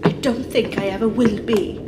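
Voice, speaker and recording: Young woman, voiced by an actress, anxious, suspicious, high-pitched, proper phrasing, radio play, noisy recording. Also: fairly even intonation, moderate pace, lightly acted. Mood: uneasy, sullen. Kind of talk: 1930s-style radio play acting. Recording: noisy background hiss.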